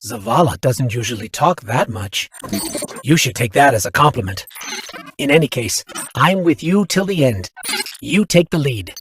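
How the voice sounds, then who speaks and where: An actor playing a friendly, upbeat small robot companion, chatty and helpful, guiding a newcomer in scripted game dialogue. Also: male voice, fairly high pitch, slight background noise.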